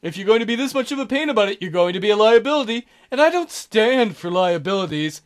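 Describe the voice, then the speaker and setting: A man voicing a smug male villain who mocks his captives in a smooth, gloating way. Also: high voice, animated, fast.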